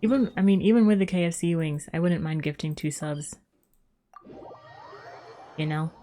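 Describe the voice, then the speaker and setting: A woman's voice: low voice, normal speed, warm tone. A woman livestreaming a game, chatting casually and musing aloud in a rambling way. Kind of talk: casual chat while gaming. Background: game music.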